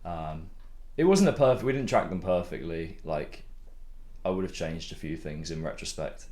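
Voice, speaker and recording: A young man with a very low voice, chatting casually and swearing now and then as he mixes his own songs on a live stream. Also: expressive, normal speed. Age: young adult. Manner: rambling, laid-back, swears casually, laughs a lot. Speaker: songwriter streaming his music production. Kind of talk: casual live-stream chat while mixing. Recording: loud music playing under the voice.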